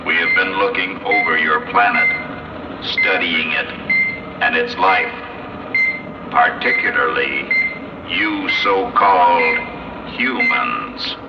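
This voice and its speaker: Alien presence speaking through a man. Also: low voice. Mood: cold. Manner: slow, flat, detached delivery. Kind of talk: 1960s radio drama acting. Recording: roomy, distant, muffled old radio recording with heavy hiss.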